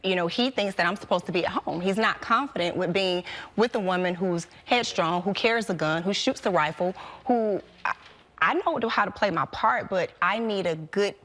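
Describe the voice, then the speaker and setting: A woman guest on a talk show, talking earnestly about her dating life with little halting restarts. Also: medium pitch, a bit bright. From American. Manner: earnest, talks with slight laughs, halting restarts. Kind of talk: casual talk show chat. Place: television studio.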